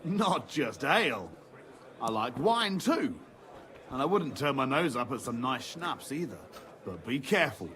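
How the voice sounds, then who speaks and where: An actor playing a boastful, hard-drinking medieval tavern regular in a video game, giving worldly advice with relish. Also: male voice, mid-range voice, big pitch swings, a little slow, fairly bright tone. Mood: boastful, knowing. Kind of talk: video game character dialogue.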